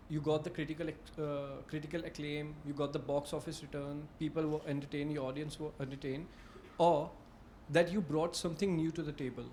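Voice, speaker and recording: A man politely and haltingly asking a question from an audience. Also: fairly high pitch, a little mellow, a little hiss or hum. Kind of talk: live question to a speaker.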